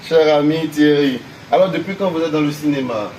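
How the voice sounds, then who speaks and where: A man hosting a televised interview, effusive and quick to repeat words of praise. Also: a little high, a little mellow. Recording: echoey room from a distance with hiss.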